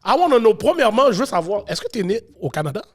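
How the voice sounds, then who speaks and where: A man hosting a podcast in a joking, animated way, mixing French with English slang. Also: high-pitched, fast. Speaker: podcast host. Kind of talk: casual podcast chat.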